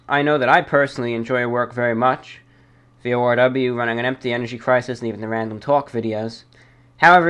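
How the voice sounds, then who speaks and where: Man hosting a halting, deliberate mail show. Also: mid-range voice, a bit bright. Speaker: host of a listener-mail show. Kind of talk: reading listener mail and commenting casually.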